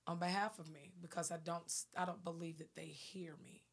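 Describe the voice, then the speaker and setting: Raspy low-voiced woman, earnest. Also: flat delivery, brisk. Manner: earnest, emphatic, checks listener understands. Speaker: gospel singer. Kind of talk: panel interview conversation.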